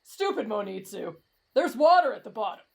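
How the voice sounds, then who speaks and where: A woman's voice: mid-range voice, big pitch swings, moderate pace, gravelly. A woman voicing a brash, reckless teenage boy in an anime-style roleplay drama, cocky, blunt and dismissive. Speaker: voice actor in roleplay audio.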